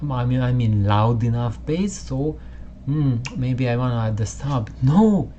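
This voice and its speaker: A man hosting a home audio channel, explaining casually in a halting way with many restarts, in a room with poor acoustics. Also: medium pitch, dark tone, lo-fi sound, a little hiss or hum. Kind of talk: casual explanatory talk to camera.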